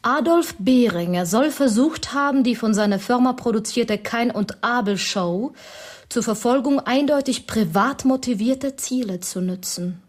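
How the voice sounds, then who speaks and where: An actress playing a cool, haughty young corporate executive in a German radio drama, speaking formally and crisply to the point. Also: female voice, fairly high pitch, unhurried. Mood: cool, businesslike. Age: young adult. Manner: formal, businesslike, clipped.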